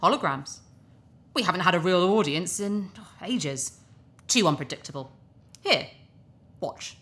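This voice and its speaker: A woman playing a smug, manipulative, warmongering talk-show host in a sci-fi audio drama, with pompous, emphatic broadcast patter. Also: fairly low pitch, expressive, measured pace. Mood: blithe, dismissive.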